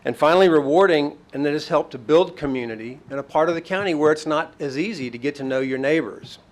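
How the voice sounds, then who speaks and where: A man reading a measured, appreciative statement at a podium microphone in a county courtroom. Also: on the high side. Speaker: public commenter. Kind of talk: prepared public statement.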